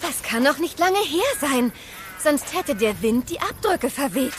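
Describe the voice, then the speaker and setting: A woman's voice: sits high, expressive, a little slow, slight background noise. A woman playing a level-headed, alert and slightly bossy girl adventurer in a children's radio play. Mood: alert, deducing. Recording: music under the voice.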